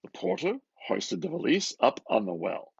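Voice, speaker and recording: A man reading a novel aloud with expressive, dramatic narration, musing and often dropping into a hushed murmur. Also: medium pitch, moderate pace, vocal fry, breathy edge, no echo, dull audio. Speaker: reader. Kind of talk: expressive literary audiobook narration.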